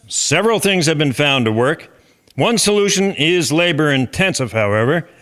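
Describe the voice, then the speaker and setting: A man plays an earnest chairman addressing farmers in a historical drama. His voice is forceful and sharp, pitched a little high. He lays things out formally, like someone running a meeting. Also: expressive, normal speed. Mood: formal, instructive. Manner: formal, instructive, speechmaking. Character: earnest male chairman of a farmers' agricultural society.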